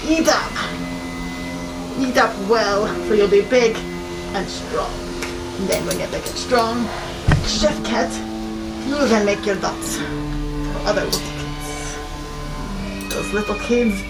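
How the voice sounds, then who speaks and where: A woman's voice: medium pitch, expressive, takes it slowly, long pauses, poor audio. A woman voicing an eccentric cat chef who hosts a children's cooking show with sing-song, gleefully creepy cheer. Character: eccentric menacing cat chef teaching kids. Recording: loud music behind the voice.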